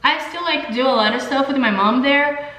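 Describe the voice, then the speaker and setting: A woman's voice: high voice, fairly quick, fairly bright tone, roomy sound. A young woman with a Brazilian accent, a native Portuguese speaker, chatting casually to camera in an informal, candid, slangy way as a beauty vlogger. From São Paulo, Brazil. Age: young adult. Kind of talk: casual chat to camera while doing makeup.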